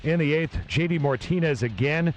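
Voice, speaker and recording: A man narrating a baseball game recap, steady and matter-of-fact between broadcast clips. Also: a little high, normal speed, a little hiss or hum. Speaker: recap host.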